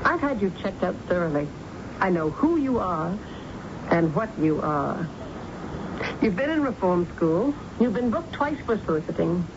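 An actress playing a stern, controlling middle-aged mother in a radio drama, speaking in a firm, probing, deliberate way. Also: on the low side, very animated. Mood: cold, stern. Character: stern, controlling wealthy mother, protective of her grown son. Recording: muffled, noisy old radio broadcast recording.

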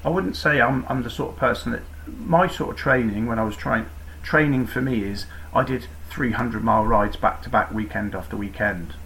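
A man's voice: mid-range voice, moderate pace, fairly bright tone, slight background noise, low-quality recording. A man from Cornwall, a podcast guest talking casually about cycling in a rambling, self-deprecating way with many restarts. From Cornwall, England. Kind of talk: casual interview chat.

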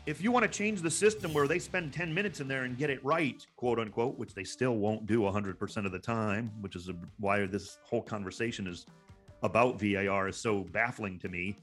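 A man's voice: lowish voice, fairly quick, fairly bright tone, soft background music. A man giving impassioned sports commentary in a panel discussion, emphatic and exasperated, repeating words for stress. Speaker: sports broadcaster, guest pundit.

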